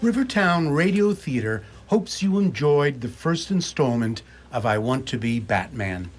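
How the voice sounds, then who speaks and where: A man announcing for a radio theatre, giving a scripted recap with playful dramatic pauses. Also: mid-range voice, big pitch swings, soft background music.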